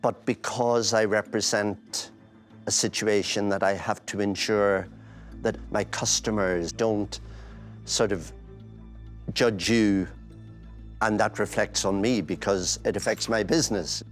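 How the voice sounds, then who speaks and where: Man, business owner, low voice, measured, thinks aloud. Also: fairly even intonation, faint music behind. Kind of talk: reality show dinner conversation.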